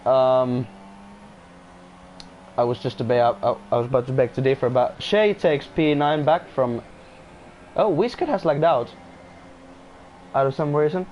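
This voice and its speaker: A man's voice: mid-range voice, expressive, a little slow, pauses often, slight background noise. Man, non-native english speaker, casual race commentary, hesitant, restarts, muffled lo-fi sound. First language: not English. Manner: hesitant, restarts often, apologetic. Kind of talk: casual live sim-racing commentary.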